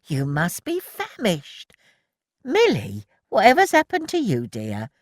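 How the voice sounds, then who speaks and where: Give me a brisk, fussing old grandmother, as a woman puts her on, lively pitch. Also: no echo, clean studio sound, exaggerated voice.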